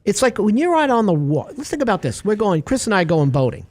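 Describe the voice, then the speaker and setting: A man's voice: sits high, fast, dry recording. A man hosting a radio talk show, chatting casually and acting out little stories as he goes. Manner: animated storyteller, acts out little scenes.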